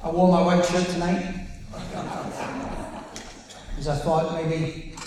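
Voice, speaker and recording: Man, Welsh accent, high voice, halting sermon, frequent restarts, echoey hall, faint background ambience. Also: flat delivery, noisy background. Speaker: preacher. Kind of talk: conversational sermon. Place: large hall with a live audience.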